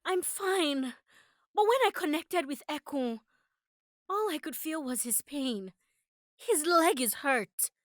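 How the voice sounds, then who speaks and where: A woman voicing a brave, caring young girl heroine in an animated adventure, earnest and urgent. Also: high-pitched, slow pace, dry recording, studio quality. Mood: worried. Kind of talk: animated series table read.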